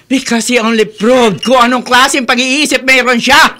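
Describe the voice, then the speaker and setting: A woman's voice: on the high side, fairly even intonation, normal speed, pushed and bright. A woman playing a disapproving, domineering middle-aged mother in a radio soap, scolding in Tagalog mixed with English. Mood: scornful. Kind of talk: Philippine radio soap opera acting.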